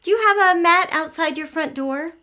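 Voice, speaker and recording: A woman's voice: extremely high pitch, moderate pace, pushed and bright. A woman hosting an interactive read-aloud for young children, telling the story expressively and explaining as she goes. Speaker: host of children's early learning show.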